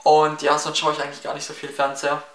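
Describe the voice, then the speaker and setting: A man's voice: a little high, completely flat delivery, fast, fairly bright tone. A seventeen-year-old young man with a Swabian accent answering viewer questions in a chatty, rambling way, full of fillers and restarts. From Baden-Württemberg, near Stuttgart. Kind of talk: casual Q&A vlog. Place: small room in front of window blinds, indoors. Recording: echoey room, slightly distant mic.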